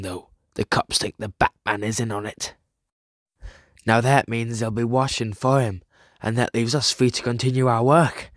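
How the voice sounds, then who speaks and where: A man's voice: mid-range voice, dry recording, clean studio sound, close to an everyday voice. A man playing brash 1930s tough guys with blunt, slangy talk in a comic book audio drama. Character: male 1930s gangsters and cops, brash, tough.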